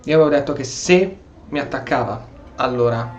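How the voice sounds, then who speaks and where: A man's voice: mid-range voice, a little fast, soft background music. A man with an Italian accent giving casual commentary and role-playing along as he plays a video game. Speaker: gameplay streamer.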